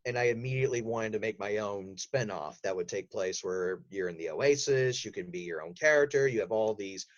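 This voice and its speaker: A man telling stories casually as a podcast guest and voice actor, animated in manner. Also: lowish voice, fairly quick, highs cut off. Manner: animated, acts out quotes. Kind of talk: casual interview storytelling.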